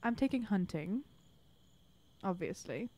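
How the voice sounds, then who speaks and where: Woman, tabletop player, middle-pitched voice, informal, playful, improvised chat. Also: lively intonation, measured pace, mellow. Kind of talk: casual improvised role-play chat.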